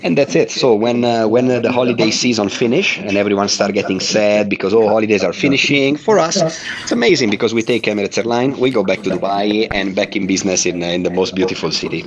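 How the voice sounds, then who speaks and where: Guest, middle-aged Italian man, accented English, low voice, hesitant on a video call. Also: fast, a crowd in the background, low-quality recording. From Italy. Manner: hesitant, many uh fillers, laughs while talking.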